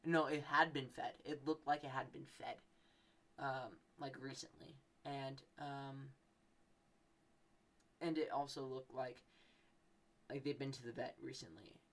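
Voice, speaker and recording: A man's voice: medium pitch, fairly even intonation, unhurried, gravelly, pauses often, fairly bright tone. A young man hosting a podcast, telling a story casually in a rambling, playfully exaggerated way. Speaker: podcast host.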